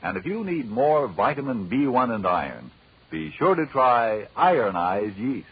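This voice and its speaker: A man working as a 1940s radio announcer, delivering polished, emphatic sponsor pitches. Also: very animated, a little slow. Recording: muffled, husky-sounding 1940s radio recording.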